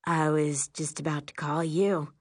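A woman reading a novel as a relaxed teenage boy, the heroine's sweetheart. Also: deep voice, a little slow, no echo, studio quality. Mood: casual.

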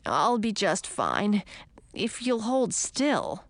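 A woman's voice: medium pitch, sing-song, normal speed, no echo, lightly acted. A woman voicing a cautious young male frog, an enchanted prince in a storybook, earnest and careful. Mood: pained, grumbling.

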